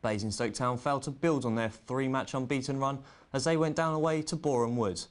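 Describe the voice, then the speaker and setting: A man's voice: medium pitch, fairly quick. A man presenting sports news, reading results and links from a script in a student news bulletin.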